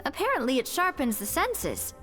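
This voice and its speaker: A woman's voice: sits high, wildly swooping pitch, normal speed, fairly bright tone, soft background music, full character voice. A woman voicing a composed, proud chef in a video game, speaking in a poised, articulate and firm way. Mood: composed, explanatory. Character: composed, proud woman chef, modest about her fallen rank.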